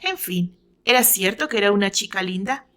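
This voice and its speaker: A woman's voice: medium pitch, expressive, normal speed, a bit bright. A woman with a Mexican accent narrating a romantic story aloud in a dramatised, scripted style.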